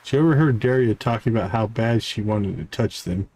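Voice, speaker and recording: A man's voice: on the low side, a little fast, darkish tone, husky. A man livestreaming a game, reading character dialogue aloud with stumbles and short asides. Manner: reads on-screen text aloud with stumbles and asides. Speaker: game streamer.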